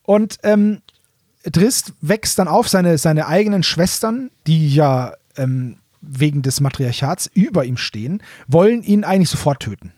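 A man's voice: fairly high pitch, lively intonation, unhurried, darkish tone, no echo, studio quality. A man co-hosting a podcast, retelling a story in casual, colloquial phrases and correcting himself as he goes. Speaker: podcast co-host.

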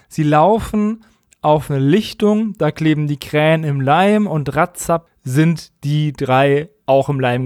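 A man talks casually as a podcast co-host. His voice is somewhat high for a man, his phrasing wry and colloquial, and the sound is tight and dry with no noticeable room. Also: a little mellow, dry recording. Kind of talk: casual chat, recapping an audio drama.

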